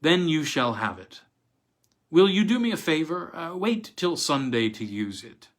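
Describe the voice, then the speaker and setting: A man's voice: a little high, sing-song, unhurried, pitched above the performer's own voice. Sinister lady singer, played by a man: purring, coy, sighing, raised voice, gothic horror tale. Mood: coy, promising.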